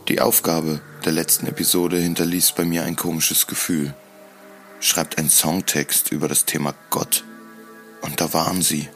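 A man narrating a scripted first-person audio drama in a solemn, deliberate storytelling manner. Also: very deep voice, little pitch movement, over music. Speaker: podcast host.

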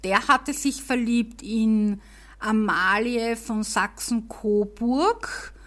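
A woman with a Viennese accent, co-hosting a podcast and talking slowly and carefully so everyone can follow. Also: fairly high pitch. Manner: deliberately slow, careful speech. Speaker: podcast co-host. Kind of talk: casual history podcast chat.

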